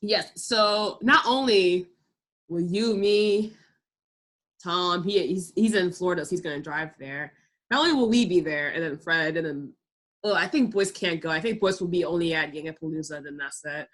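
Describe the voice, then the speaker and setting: A woman hosting a casual chat, animated and talking with a lot of "like" fillers. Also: fairly low pitch, normal speed. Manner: animated, heavy use of "like". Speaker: host.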